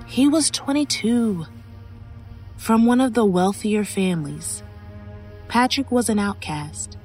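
A woman's voice: medium pitch, expressive, slow, a little mellow, faint music behind. Guarded female shopkeeper. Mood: somber recounting. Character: guarded local shopkeeper woman in a ghost story. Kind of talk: horror audio drama acting.

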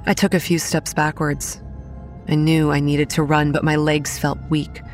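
An actress playing a haunted young woman, narrating childhood horrors in a solemn, deliberate first-person storytelling style. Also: low-pitched, little pitch movement, soft background music. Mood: tense, grim.

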